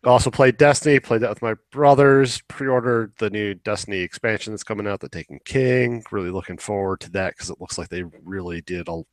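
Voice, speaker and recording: Man, young adult, low voice, dry close sound, rambling casual podcast chat, opinionated. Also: brisk, few pauses, no echo. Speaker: podcast co-host. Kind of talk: casual chat about video games.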